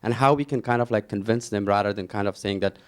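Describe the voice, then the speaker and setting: A man's voice: fairly low pitch, fast, clean tone. A man in the audience at a panel, talking at length with many fillers such as "kind of, like". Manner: rambling, heavy filler words. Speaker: audience member.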